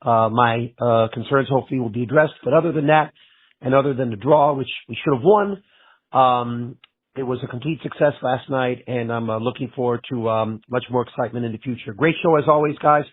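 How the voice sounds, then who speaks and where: Raspy man with a cold on a phone line. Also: mid-range voice, no room sound. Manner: halting, with many pauses. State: has a bad cold. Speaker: caller. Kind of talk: voicemail message to a show.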